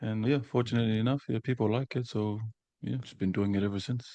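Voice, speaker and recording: A young man from Samoa, a podcast guest, talking about himself in an easygoing, soft-spoken, unhurried way. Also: on the low side, steady tone, no echo. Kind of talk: casual interview chat.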